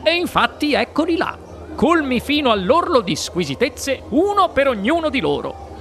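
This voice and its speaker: A narrator voicing an eccentric, playful male candy maker in an audiobook, with a lively, theatrical delivery. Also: normal speed, soft background music, exaggerated voice. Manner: lively, theatrical, raised pitch. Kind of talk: audiobook character voice.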